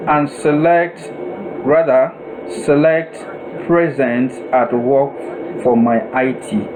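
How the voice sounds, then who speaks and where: A man narrating a step-by-step software tutorial in a deliberate, instructional way, repeating and rephrasing himself as he goes. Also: medium pitch, little pitch movement, background noise.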